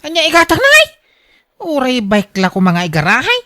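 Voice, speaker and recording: A woman plays a blunt village mother-in-law in an Ilocano radio drama. She grumbles and exclaims in a sharp, highish voice that swoops dramatically, with a dry, close sound. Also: huge pitch swings, a little fast, no room sound, exaggerated voice. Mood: grumbling, mocking.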